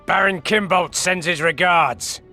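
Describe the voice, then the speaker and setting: Sharp, gravelly, haughty huntsman. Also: sits high, unhurried, faint music behind, heavily acted. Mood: cold menace. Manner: imperious, threatening. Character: haughty, menacing male huntsman, a baron's enforcer. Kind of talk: video game voice acting.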